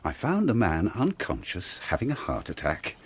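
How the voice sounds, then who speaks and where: Bitter doctor in radio drama, low husky voice, formal and insistent, pitch all over the place. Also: male voice, wildly swooping pitch, full character voice. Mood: guarded, defensive. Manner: measured, formal, insistent, emphatic.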